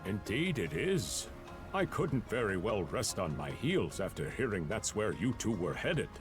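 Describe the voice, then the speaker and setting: A man's voice: lowish voice, sing-song, a little slow, music in the background. A man voicing a courteous, eager scholar in a video game, speaking formally and earnestly, full of wonder.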